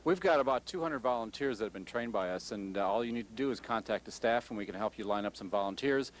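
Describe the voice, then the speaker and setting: A man's voice: on the low side, fast talker, a little hiss or hum. A man in his fifties, a station representative, giving careful, slightly hesitant answers in a live interview. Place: indoors in a TV studio. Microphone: handheld stick microphone.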